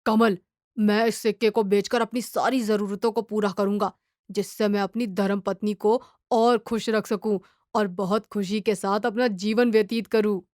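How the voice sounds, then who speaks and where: A woman's voice: mid-range voice, fairly even intonation, normal speed, darkish tone, no echo, studio quality, close to an everyday voice. A woman voicing a young village man in earnest dialogue for a moral story. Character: two young village men, friends, talking earnestly.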